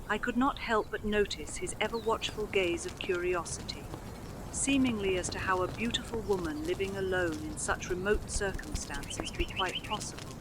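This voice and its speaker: A woman's voice: mid-range voice, noisy background, lightly acted. A woman voicing a wistful lone mother narrating. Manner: slow, measured, drawn-out phrasing. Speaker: voice actress. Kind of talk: scripted storytelling narration. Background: music score.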